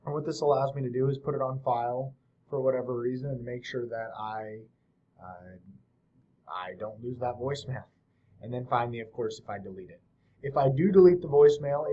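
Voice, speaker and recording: A man hosting a software tutorial, explaining things step by step in an informal, casual way. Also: medium pitch, lo-fi sound. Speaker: host of a software tutorial series. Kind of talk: casual screen-share tutorial narration.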